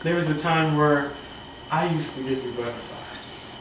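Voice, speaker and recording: A hurt young boyfriend in an amateur film drama, played by an actor. He speaks slowly and haltingly as he pleads. The voice is distant in a very echoey room with faint noise. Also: mid-range voice, close to an everyday voice. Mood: pleading. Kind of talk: amateur short film acting.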